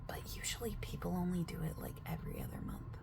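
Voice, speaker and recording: A woman speaking softly in an ASMR roleplay as a gym staff member, chatty and overexplaining, often dropping into a whisper partway through a sentence. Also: fairly low pitch, fast, gravelly. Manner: soft-spoken, slipping into whispers mid-sentence. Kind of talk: soft-spoken ASMR sales roleplay.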